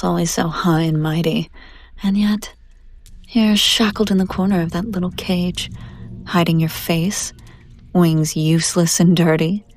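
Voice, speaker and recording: Casting: female performer as an imperious demon buyer for audio roleplay; lowish voice, condescending, teasing, theatrical, very dry close sound. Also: a little mellow, dry recording. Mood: mocking, predatory. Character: haughty, predatory demon woman, wealthy buyer at auction.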